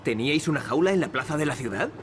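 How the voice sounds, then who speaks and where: A man's voice: medium pitch, animated, fast, slight background noise, natural voice. An actor with an accent from Spain playing a cocky, sarcastic young male adventurer in a wry, flippant way for a video game. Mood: wry, composed. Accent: Spanish from Spain. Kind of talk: dubbed adventure game dialogue. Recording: loud music behind the voice.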